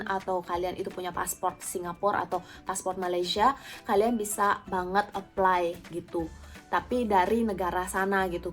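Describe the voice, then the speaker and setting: A woman's voice: lowish voice, a bit bright. A young woman with an Indonesian accent, talking casually and informally to viewers as she answers their questions. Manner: informal, conversational, addresses viewers directly. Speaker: vlogger, former or current flight attendant. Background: background music.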